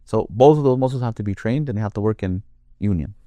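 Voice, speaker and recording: A man with a deep voice, a Quran reciter explaining his craft in a conversational interview, building his points with long worked examples. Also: fast talker, dark tone, no room sound.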